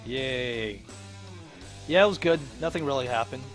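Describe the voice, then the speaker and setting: A man's voice: medium pitch, lively intonation, a little slow. Casual male game streamer over music. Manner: joking asides and exclamations over the story. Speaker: let's play host. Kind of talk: casual game commentary, reading aloud and reacting. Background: game music with plucked guitar.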